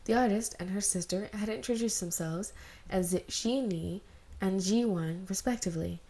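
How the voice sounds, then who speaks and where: A woman's voice: mid-range voice, moderate pace, mellow, breathy edge. A woman who is an amateur podfic reader, talking informally and narrating fan fiction.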